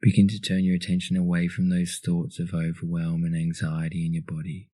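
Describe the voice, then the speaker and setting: Male narrator for guided meditation. Deep, soft dark timbre, calm and soothing delivery. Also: a little fast, no echo.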